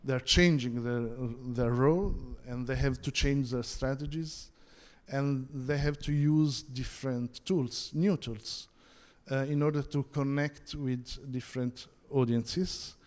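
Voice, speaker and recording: A man with an Italian accent giving a halting conference talk, full of pauses and restarts. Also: medium pitch, fairly even intonation, a little mellow. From Italy. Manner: hesitant, many fillers and restarts. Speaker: conference panel speaker. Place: theatre hall. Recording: long hall reverb.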